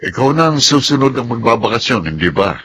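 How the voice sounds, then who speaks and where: A man's voice: medium pitch, sing-song, fairly quick, fairly bright tone, husky. A man with a Filipino accent playing a fatherly senior military officer in a radio drama, commanding yet friendly.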